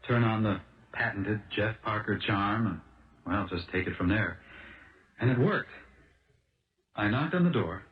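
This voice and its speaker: Low-voiced impulsive American salesman, old radio. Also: expressive. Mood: rueful, eager. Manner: halting, earnest, restarts phrases. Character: impulsive, fast-talking car salesman protesting his innocence. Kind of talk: 1970s radio drama acting. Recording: dull lo-fi old radio broadcast.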